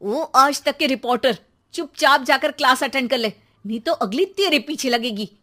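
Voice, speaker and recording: A woman voicing a harried, sarcastic mother who nags and scolds loudly in a cartoon story. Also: normal speed. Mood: scolding. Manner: shrill, nagging, sarcastic.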